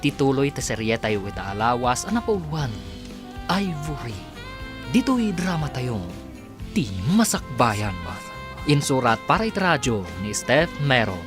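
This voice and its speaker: A man's voice: mid-range voice, sing-song, a little slow, music in the background. A man with a Filipino accent giving dramatic scripted narration as a radio drama announcer.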